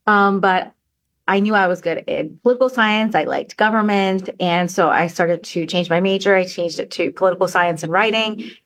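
A woman's voice: fairly low pitch, normal speed, fairly bright tone. A woman in her forties, from Bangladesh and New York, answering casually as an interview guest. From born in Bangladesh, New Yorker. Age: 40s.

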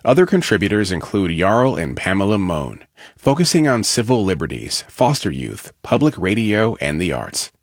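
A man's voice: on the low side, very animated, normal speed, no echo, studio quality. A man reading a scripted sponsor credit announcement for radio.

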